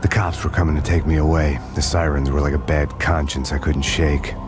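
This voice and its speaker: A deep, deadpan noir avenger. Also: male voice, fairly quick, over music. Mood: grim. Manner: flat, brooding, deadpan. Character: bitter, hardboiled male ex-cop seeking revenge. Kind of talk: noir voice-over narration.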